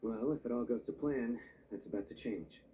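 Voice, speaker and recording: An actor playing a composed, earnest, knowledgeable man in a fantasy video game, explaining things in a measured way. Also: mid-range voice, warm tone, natural voice. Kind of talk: scripted game voice acting. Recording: game audio, distant, faint noise.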